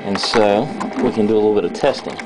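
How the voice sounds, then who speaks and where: Low-voiced man giving a halting hobby demonstration. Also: noisy background. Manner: halting, methodical explaining with frequent pauses. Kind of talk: casual unscripted how-to demonstration.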